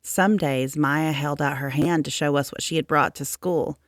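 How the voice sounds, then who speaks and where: A woman reading a children's story aloud as narrator, in a measured, expressive storytelling manner. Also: deep voice, no room sound, clean studio sound. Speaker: storybook reader.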